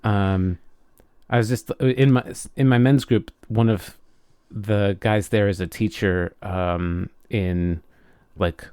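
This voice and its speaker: A man chatting casually and reflectively as a podcast co-host, often halting as he searches for words. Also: fairly low pitch, steady tone, dark tone, clear, resonant tone, no room sound, clean studio sound.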